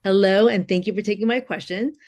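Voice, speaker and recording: A woman keynote speaker delivering a scripted promo and a taped question in a clear, deliberate way. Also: mid-range voice, steady tone, a little mellow.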